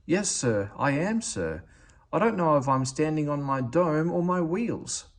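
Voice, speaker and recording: A man's voice: a little high, lively intonation, measured pace, pitched above the performer's own voice. A man voicing a small, put-upon young tank engine in a storybook, earnest, chattering and plaintive. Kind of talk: storybook character voice.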